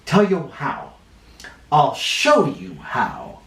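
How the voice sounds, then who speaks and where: A man reading the part of a boy's calm, sly, kindly dad in a storybook, measured and confiding. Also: fairly high pitch, full character voice. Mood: knowing, sly. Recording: roomy echo, distant mic.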